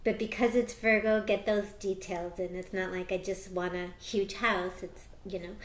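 An American woman speaking as an astrologer and tarot reader, chatting casually in an interview with bursts of excitement. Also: mid-range voice, echoey room. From United States. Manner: animated, quick bursts of excitement.

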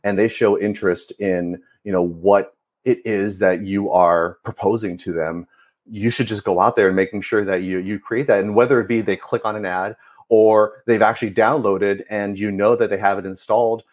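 A man being interviewed as a guest on a podcast, answering in a measured, conversational way with many fillers. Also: lowish voice, steady tone, dull audio. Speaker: podcast guest, marketing leader.

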